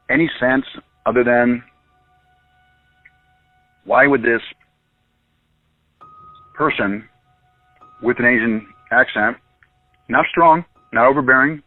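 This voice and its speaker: Halting man on a tinny phone line. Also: medium pitch, no echo. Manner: halting, with many false starts and restarts. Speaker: private investigator. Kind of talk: recorded phone interview.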